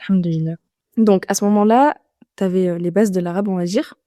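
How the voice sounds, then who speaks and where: Mellow woman podcast interviewer. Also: medium pitch, fairly even intonation, fairly quick, dry recording, clean studio sound. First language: Arabic. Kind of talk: casual conversational interviewing.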